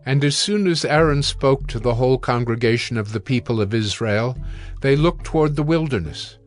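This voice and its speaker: A man with a medium-pitched voice narrates scripture dramatically. He reads in a solemn, measured way, with faint music beneath him.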